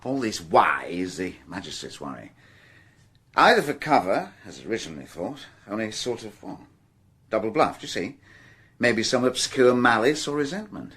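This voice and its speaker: Husky, exasperated British-accented police detective. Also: male voice, on the low side, very animated, moderate pace, fairly bright tone, full character voice. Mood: weary, explaining. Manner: hesitant musing breaking into indignant outbursts. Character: dogged, exasperated male French police detective. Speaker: actor in a radio crime drama. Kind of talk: naturalistic British radio drama acting.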